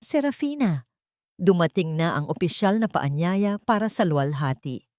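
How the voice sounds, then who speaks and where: A calm, devoted gentleman, played by a woman narrator in a romantic audio drama. He speaks gently and steadily, in a very dry recording. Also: fairly low pitch, normal speed, no echo, natural voice. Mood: calm earnest. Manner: calm, soft-spoken.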